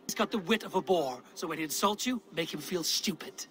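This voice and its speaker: An actor playing a bold, blunt Viking warrior who goads and mentors with wry command in a video game cutscene. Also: male voice, sits high, fairly quick, a bit bright. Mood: goading, coaching.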